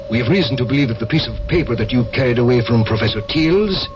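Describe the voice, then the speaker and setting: A man's voice: medium pitch, animated, fast, lo-fi sound, over music, natural voice. An actor playing a cold, menacing male Russian secret police interrogator in a 1970s radio drama, clipped and threatening.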